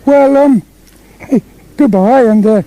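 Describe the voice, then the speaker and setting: An actor playing a lonely, gentle daddy bear in a comic radio play, husky and hesitant, with a plaintive slowness. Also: male voice, very high-pitched, slight background noise, rough recording. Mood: forlorn.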